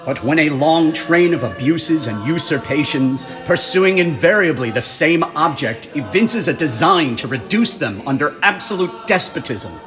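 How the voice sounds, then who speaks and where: Guy with a slightly high voice reading old formal text over music. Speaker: community reader. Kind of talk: formal scripted reading of a historical document.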